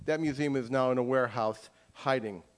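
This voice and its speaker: A man's voice: medium pitch, fairly quick. A man giving an emphatic lecture as an author and taking audience questions. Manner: emphatic, forceful.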